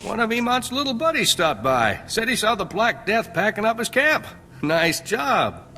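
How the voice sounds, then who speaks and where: An actor playing a glib, chatty and self-interested salvage trader in a video game. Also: male voice, sits high, big pitch swings, normal speed, pushed and bright, a little hiss or hum, full character voice. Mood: pleased.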